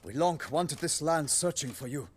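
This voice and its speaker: Proud knight envoy, husky, formal, earnest and ornate, fantasy video game. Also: male voice, on the high side, expressive, normal speed. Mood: solemn, earnest. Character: solemn, proud, courtly knight on a mission. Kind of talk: video game cutscene acting.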